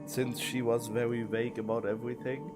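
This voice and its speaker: A man's voice: medium pitch, little pitch movement, a little fast, loud music behind the voice. A man giving casual game-streaming reactions in a blunt, sardonic, skeptical way. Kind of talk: casual gameplay reaction commentary.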